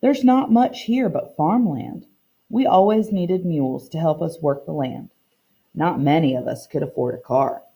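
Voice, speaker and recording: A woman voicing a kindly, proud old Southern woman in a children's picture book read-aloud, with an unhurried, folksy way of talking. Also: low voice, dark tone. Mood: warm, proud. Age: older.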